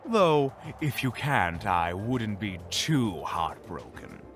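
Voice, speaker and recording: A man's voice: fairly low pitch, big pitch swings, slow pace, soft background music. A man playing a pompous, sarcastic, grumpy evil wizard in a melodramatic fantasy audio roleplay. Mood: dry sarcasm. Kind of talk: fan audio roleplay acting.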